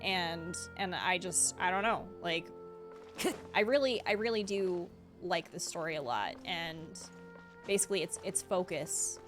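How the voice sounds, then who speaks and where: A woman's voice: on the low side, lively intonation, a little slow. A woman streamer giving casual, chatty reaction commentary on a game, often restarting her sentences and laughing. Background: game music.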